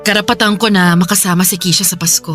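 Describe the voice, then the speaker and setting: A woman's voice: on the low side, fairly even intonation, fast talker, over music, close to an everyday voice. A woman playing a remorseful, emotional mother who pleads and coaxes in a Tagalog radio drama. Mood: hopeful, pleading. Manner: emotional, coaxing, imploring. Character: pleading, remorseful mother longing for her young child.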